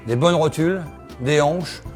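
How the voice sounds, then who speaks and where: A middle-aged man from Normandy, working as a farrier, answering interview questions outdoors at his horse yard in a steady way, often agreeing with "absolutely". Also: fairly high pitch, a little slow, faint music behind. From Normandy, France.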